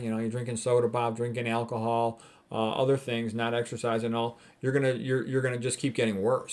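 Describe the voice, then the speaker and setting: A man speaking as a doctor in an informal lecture, reading out quotes and commenting on them in a plain-spoken, didactic way with short emphatic phrases. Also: medium pitch. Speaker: physician giving health commentary.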